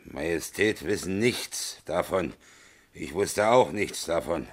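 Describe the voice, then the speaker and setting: An actor in a German radio drama playing a curt, self-satisfied old government minister with a deliberate manner who repeats his words. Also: deep voice, animated, full character voice. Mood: brisk, matter-of-fact. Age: older.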